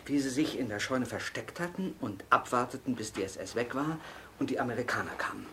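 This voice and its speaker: A man's voice: medium pitch, animated, a little fast, fairly bright tone, slight background noise, close to an everyday voice. An actor playing a commanding, businesslike male film director who gives instructions in naturalistic radio drama dialogue. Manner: directing, hesitant asides, giving instructions.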